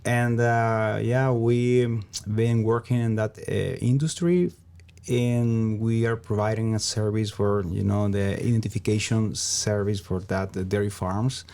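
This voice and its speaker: A man from Venezuela with a Venezuelan Spanish accent, answering interview questions in a halting way as he searches for words. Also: lowish voice, steady tone, a little mellow. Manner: halting, searching for words, frequent "you know". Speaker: interview guest, small business founder.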